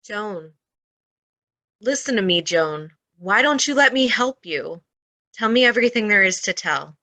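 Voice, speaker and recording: A woman's voice: medium pitch, lively intonation, unhurried, bright, forceful tone. A woman playing a kind, earnest defense lawyer who implores her client in an old-time radio drama. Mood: earnest pleading.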